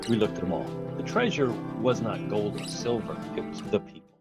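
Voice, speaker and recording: Guy in a documentary clip, slow and serious, loud guitar music over him. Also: on the low side, expressive.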